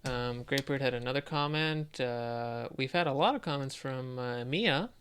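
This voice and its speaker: A man co-hosting a podcast in a casual, halting, tongue-in-cheek way. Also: medium pitch, fairly bright tone, a little hiss or hum. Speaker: podcast co-host.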